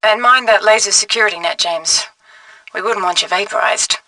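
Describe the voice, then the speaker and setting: An actress playing a capable female agent who gives a spy brisk mission guidance in a video game. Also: medium pitch, bright, forceful tone, dry recording, far from the mic, lightly acted, low-quality recording. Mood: composed, businesslike.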